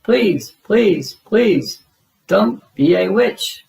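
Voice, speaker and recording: A man voicing a little childlike monster in a storybook, excitable, anxious and curious. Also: sits high, very slow, vocal fry, voice pushed up, exaggerated voice. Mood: scared.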